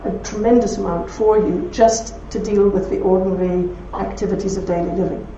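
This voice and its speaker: A woman with a broad Belfast accent speaking to an audience, telling anecdotes with warmth and laughter. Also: medium pitch, fairly even intonation, brisk, a little hiss or hum. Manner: warm anecdotal storytelling with laughs. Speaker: speaker at a talk. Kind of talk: talk with audience questions. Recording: roomy hall from far off, dull.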